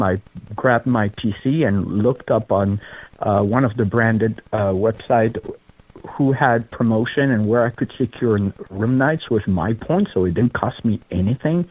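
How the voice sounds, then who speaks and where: A middle-aged man with a French accent, from Belgium, telling his story in an interview with earnest, unhurried pacing. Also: mid-range voice, no room sound. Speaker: guest, charity founder. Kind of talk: interview conversation. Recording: tinny phone-like line.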